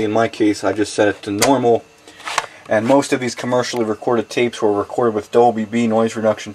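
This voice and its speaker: A man with a mid-range voice walks through a tutorial step by step in a plain, methodical way. He sounds like a home video, picked up by the camera's own mic, with a faint hiss behind him. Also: medium pitch. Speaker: tutorial host. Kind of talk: step-by-step how-to demonstration. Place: home desk with computer and mixer.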